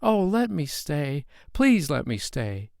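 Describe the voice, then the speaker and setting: A man voicing an earnest small boy who pleads in a high, trembling voice. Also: very animated, slow pace, creaky, a little mellow, dry recording, clean studio sound, full character voice. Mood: pleading.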